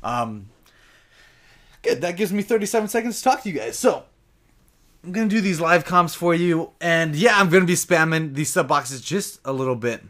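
A young man giving casual live commentary over his own gameplay, talking straight to his viewers, his voice a bit raspy from long sessions. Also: a little high, lively intonation, moderate pace, fairly bright tone. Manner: chatty, casual, addresses viewers directly.

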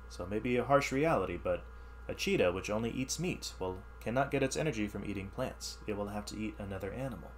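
A man teaching through an expressive read-aloud of rhyming science verse, with explanatory asides in an instructional manner. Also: medium pitch, a little hiss or hum. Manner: animated, instructional.

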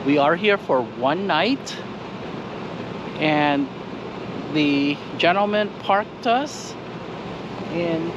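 Chatty man vlogging outdoors, slow and steady. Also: on the high side, highs cut off, noisy background. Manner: chatty, walking viewers through the campsite. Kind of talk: casual travel vlog. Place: outdoors at a campground beside a trailer. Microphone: action camera on a short pole at arm's length.

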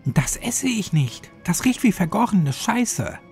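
Horror audiobook role: mouthy, defiant teen rebel girl read by a man, raised pitch, sarcastic, animated. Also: slow, creaky, soft background music, heavily acted. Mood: disgusted. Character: rebellious, foul-mouthed, angry seventeen-year-old girl.

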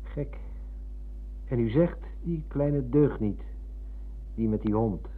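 An actor playing a nostalgic, earnest former pupil in a radio drama, speaking emphatically and wistfully. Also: male voice, mid-range voice, slow pace, phone-quality sound. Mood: teasing, insistent. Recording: thin, humming old radio recording.

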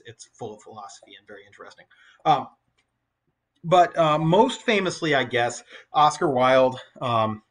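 Bright-voiced man teaching English. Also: lowish voice. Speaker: high school English teacher. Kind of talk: informal recorded poetry lesson, explaining and reading aloud.

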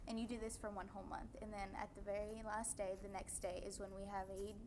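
A woman's voice: medium pitch, little pitch movement, fairly quick, noisy background. A young woman from Kentucky, a student answering interview questions casually with frequent "like" fillers, on a TV studio set. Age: young adult. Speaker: student guest.

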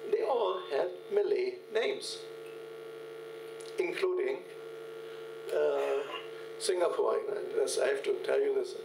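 An older man giving a keynote lecture from a podium, telling field anecdotes in a halting way with many fillers and restarts. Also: fairly high pitch, expressive, gravelly. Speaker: keynote speaker. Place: large lecture hall at a podium. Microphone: podium microphone, recorded from the hall. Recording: echoey hall, distant, mains hum.

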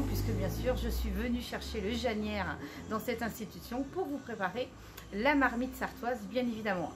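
A middle-aged woman hosting a casual cooking demonstration in a small shop, chatty, filling every pause with "so" and "of course". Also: on the high side, background noise. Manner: chatty, keeps saying "donc" and "bien sûr". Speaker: cooking show host.

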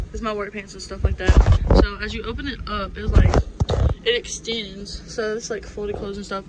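A woman's voice: medium pitch, normal speed. A young adult woman giving a casual, unscripted home tour inside a camper in a rambling, offhand manner. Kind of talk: casual, unscripted show-and-tell. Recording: noisy handheld recording, steady background noise.

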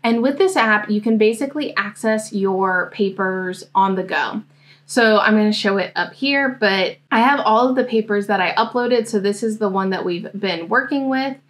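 A young American woman giving a casual software tutorial, explaining things step by step. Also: medium pitch, moderate pace. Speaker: host, academic coach demonstrating software. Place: indoor room, seated at a desk.

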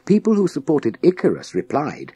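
A man narrating a children's science fiction audiobook in a measured, dramatic storytelling manner with deliberate pauses. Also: on the high side, wildly swooping pitch, mellow, breathy edge, dry recording.

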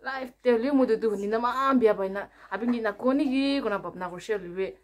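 A woman's voice: on the high side, fairly quick, crowd noise. A young adult woman vlogger in casual prank-call chat, recorded on a phone camera in a small room at home. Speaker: vlogger making prank calls.

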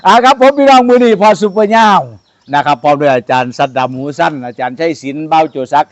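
A man's voice: fairly high pitch, big pitch swings, quick pace, muffled recording. Bouncy Isan co-host man. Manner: chimes in, echoes lines, laughs along. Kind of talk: casual chat.

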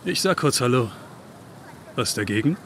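Actor as bright-voiced, friendly young man. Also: medium pitch, expressive, normal speed, slight background noise, natural voice. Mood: casual, friendly. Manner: casual, teasing, affectionate. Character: friendly, upbeat young man, protective big-brother type. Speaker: video game character. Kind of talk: video game dialogue.

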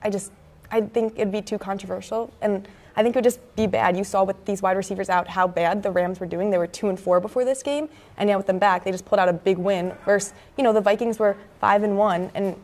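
A young woman with an American accent gives conversational sports commentary as a co-host. Her pitch sits in the middle for a woman, and she talks at a studio desk into a lapel mic. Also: fairly even intonation, quick pace, a little mellow. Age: young adult, early 20s. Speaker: student sports show co-host. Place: indoor campus TV studio at a desk.

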